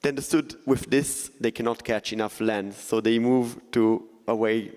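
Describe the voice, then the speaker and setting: A man, a journalist on a festival panel, explaining at a measured, careful pace. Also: mid-range voice, steady tone, a little mellow. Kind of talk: panel discussion talk. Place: festival conference hall. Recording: long reverb of a large hall.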